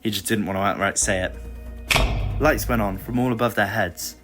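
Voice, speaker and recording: A man's voice: fairly low pitch, fairly even intonation, normal speed, music in the background. A man reading scripted narration for an adventure audio story.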